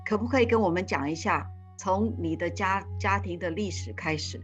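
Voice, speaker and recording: Live interpreter: a woman with a fairly low voice who hesitates and restarts often, with music in the background. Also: brisk, lo-fi sound.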